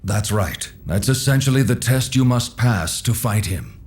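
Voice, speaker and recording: An actor playing a helpful, knowing male enchanter who explains things in a measured way in a video game cutscene. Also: on the low side, animated, a little mellow, breathy edge, exaggerated voice. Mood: cautioning, helpful.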